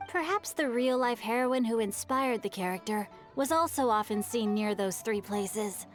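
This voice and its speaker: A woman's voice: mid-range voice, lightly acted. A woman voicing a polite, bookish young man in a video game, speaking in formal, thoughtful, storytelling phrases. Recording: game dialogue over background music. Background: game music.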